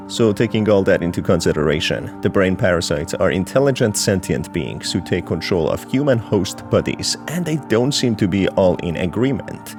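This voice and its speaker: A man narrating a scripted explainer on game lore in a measured, storytelling manner. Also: low voice, a little mellow. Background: background music.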